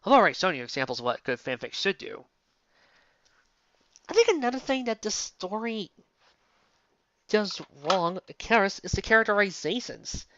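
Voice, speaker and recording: A woman's voice: medium pitch, big pitch swings, normal speed, pauses often, fairly bright tone, highs cut off, a little hiss or hum. A woman giving casual, unscripted fan fiction commentary, incredulous and exasperated, often stopping to rephrase and asking rhetorical questions.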